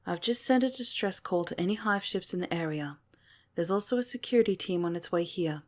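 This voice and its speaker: A woman playing a commanding alien station captain in an ASMR audio roleplay, authoritative and measured. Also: fairly low pitch, lightly acted. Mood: focused.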